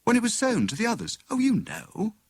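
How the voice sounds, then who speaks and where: A man voicing a clever, excitable married woman who plays amateur detective, with a brisk, knowing manner. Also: high-pitched, breathy edge, no room sound, studio quality, pitched above the performer's own voice, heavily acted. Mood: matter-of-fact.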